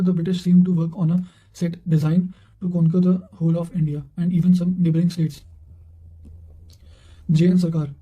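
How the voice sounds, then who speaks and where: A man with a fairly high voice and a very dark, relaxed tone reads study material aloud. His delivery is formal and flat in melody, with careful phrasing that often stumbles and restarts. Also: rough recording. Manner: careful, formal reading with frequent stumbles over words. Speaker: reader of history study material. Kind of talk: reading quotations aloud for exam revision.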